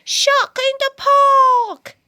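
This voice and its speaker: A woman voicing an excitable small boy in a storybook, with shrill, drawn-out, alarmed shouting. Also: no room sound, studio quality, exaggerated voice.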